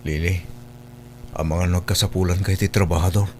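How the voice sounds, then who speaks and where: A man's voice: sits low, fairly even intonation, moderate pace, slight background noise, lightly acted. A man with an Ilocano accent acting a broke, humbled man pleading with regret in a radio drama. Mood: regretful, pleading.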